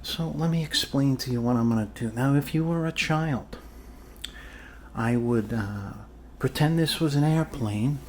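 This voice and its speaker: Slow-talking man doing jokey doctor ASMR roleplay. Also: medium pitch, a little hiss or hum. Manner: jokey, rambling, reassuring. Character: goofy, rambling, reassuring doctor treating a zombie bite. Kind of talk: ASMR roleplay, improvised casual patter.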